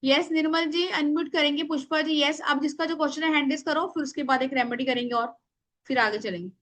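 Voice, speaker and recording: A woman leads a live online class in a high, sharp, strong voice that carries loudly. She explains informally yet emphatically, addressing her listeners directly and slipping English words into her speech. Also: fairly even intonation, fast. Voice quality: loud, strong voice. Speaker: astrology remedies teacher.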